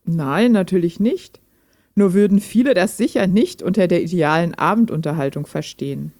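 A woman's voice: fairly low pitch, moderate pace, darkish tone. A woman playing a lonely, reserved hobby programmer in an audio drama, speaking in a dry, direct way. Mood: probing, interested. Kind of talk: amateur audio drama dialogue, read chat.

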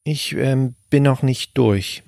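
An actor playing a shy, pensive sous-chef who speaks in a hesitant murmur in an audio drama. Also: male voice, medium pitch, takes it slowly, creaky, darkish tone, dry recording, clean studio sound. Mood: pensive, subdued.

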